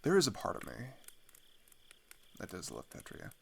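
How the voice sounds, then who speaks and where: A young man playing a weary teenage boy fleeing his homeland, speaking haltingly in an intimate, naturalistic audio roleplay. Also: sits low, wildly swooping pitch, creaky, slightly rough, exaggerated voice. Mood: uncertain, anxious. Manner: hesitant, halting, sighing, trailing off.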